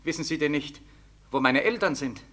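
An actor playing a bewildered, desperate soldier home from Siberia in a 1940s German radio drama, with a plaintive, insistent delivery. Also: male voice, fairly high pitch, normal speed, bright, forceful tone. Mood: anxious. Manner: insistent, repetitive questioning.

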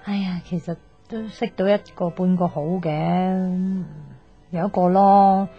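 A woman's voice: lowish voice, level tone, takes it slowly. An actress playing an outspoken, teasing young woman who gives love advice, chatty and sighing, with a Hong Kong Cantonese accent in a radio drama. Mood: chatty, rueful. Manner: chatty, blunt, sighing, mock-exasperated. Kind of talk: naturalistic radio drama acting. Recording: slightly dull, music underneath.

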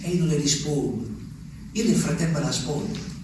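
A man's voice: on the high side, moderate pace, natural voice. An actor playing a lonely old peasant man, musing aloud to the moon in a theatrical monologue. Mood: wistful. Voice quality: musing, talks to the moon, rambling. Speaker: stage actor. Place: theatre stage. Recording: distant stage recording with reverb and faint noise.

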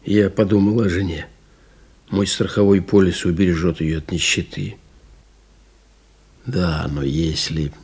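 An actor playing an exhausted, stubborn pilot recalling his survival in a radio play, speaking in a husky, gravelly voice with halting, weighted pauses. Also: low-pitched, fairly even intonation, a little mellow, exaggerated voice. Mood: despairing. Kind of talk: dramatic radio play monologue.

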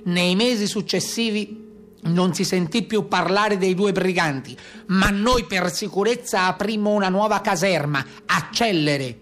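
A man playing a stern carabiniere who gives a formal, deliberate, declamatory account of brigands in a stage monologue. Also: high-pitched, a bit bright, soft background music, voice pushed up. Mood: formal reporting. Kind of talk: theatrical monologue storytelling.

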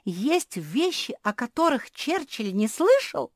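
A woman voicing an excitable, emotional little pug girl in a storybook, exclaiming breathlessly. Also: sits high, takes it slowly, no echo, studio quality, voice pushed up, heavily acted. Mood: astonished.

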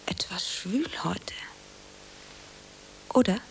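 A woman's voice: mid-range voice, lively intonation, drawn-out words, gravelly, whispered, heavily acted, low-quality recording. A woman playing a dreamy, self-dramatizing young aspiring actress in a radio play, theatrical and whimsical in her delivery. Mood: musing. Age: young adult.

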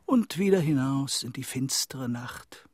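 An actor in a German radio play playing a meek, put-upon traveller, timid and resigned. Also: male voice, mid-range voice, lively intonation, a little slow, noisy background, natural voice. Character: meek, put-upon male traveller telling his misfortunes.

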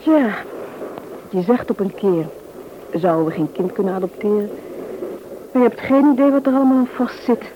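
A woman's voice: mid-range voice, moderate pace, pauses often, natural voice. An actress playing an eager, concerned married woman in a radio play, politely questioning, with a Netherlands Dutch accent. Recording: dull, noisy old radio recording.

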